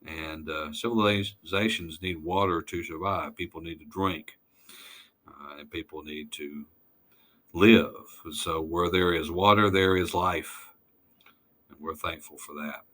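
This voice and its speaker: A man lecturing informally over slides, halting and deliberate, putting weight on key words. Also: low voice, a bit bright.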